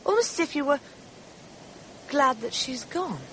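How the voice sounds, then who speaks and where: An actress playing an earnest, excitable young amateur sleuth in a radio drama, eager and emotional. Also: female voice, high-pitched, measured pace, slight background noise, heavily acted. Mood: troubled, suspicious.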